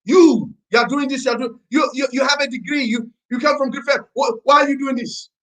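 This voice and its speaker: A man putting on the voice of a skeptical acquaintance who loudly scolds and accuses in disbelief. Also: very high-pitched, fairly quick, voice pushed up. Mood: scolding. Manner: shouting, accusing, incredulous.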